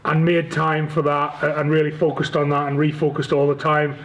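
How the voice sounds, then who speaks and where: Northern Irish man, even-toned and slightly high. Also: a little fast. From Northern Ireland. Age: 40s. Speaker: interview guest, rugby league club chief executive. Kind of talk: casual interview answers.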